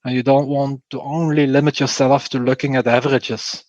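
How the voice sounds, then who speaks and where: A man's voice: mid-range voice, level tone, moderate pace, darkish tone, dry recording, lo-fi sound. A man from Belgium with a Flemish accent, explaining things in an interview and repeating key phrases for emphasis. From Belgium, Flanders. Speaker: podcast guest, performance testing engineer.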